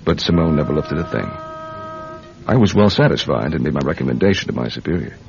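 A man playing a world-weary intelligence agent in a radio drama, terse and low-key, partly narrating his own case. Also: lively intonation, fast, vocal fry, full character voice. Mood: brooding. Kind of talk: radio drama acting with first-person narration. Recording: dull radio recording, music behind.

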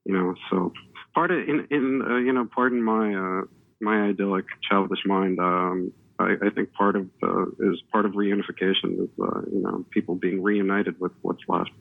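A man's voice: low voice, steady tone, normal speed, creaky. A man on a panel, talking in an earnest, rambling way with many restarts and "you know". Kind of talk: panel discussion. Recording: tinny phone-like line.

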